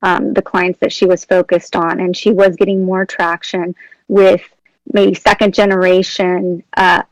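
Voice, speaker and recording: A woman investor on a video call, giving measured, helpful feedback on a startup pitch. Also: no room sound, poor audio.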